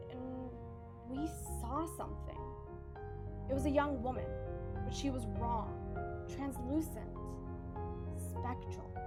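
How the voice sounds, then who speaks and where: A woman's voice: fairly high pitch, close to an everyday voice. An actress playing an earnest, theatrical young woman ghost hunter, narrating ominously in a radio play. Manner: dramatic, ominous storytelling.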